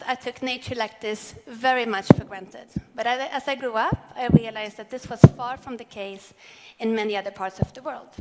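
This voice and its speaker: A woman, giving a measured, earnest prepared keynote speech on stage. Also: a little high. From Sweden. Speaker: corporate sustainability speaker. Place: large event hall on stage. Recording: long hall reverb.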